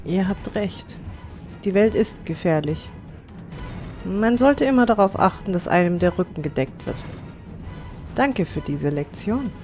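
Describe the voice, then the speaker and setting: A woman playing a plucky, earnest young dwarf adventurer in a fantasy audio drama, speaking deliberately. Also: fairly low pitch, expressive, a little mellow, faint music behind. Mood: cool, grave.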